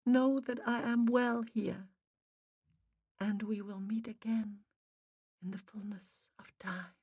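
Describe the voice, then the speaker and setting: A woman's voice: medium pitch, steady tone, takes it slowly, darkish tone, slightly rough, dry recording, pitched above the performer's own voice. A woman voicing the tender spirit of a dead mother, with a Boston accent, faint and muffled.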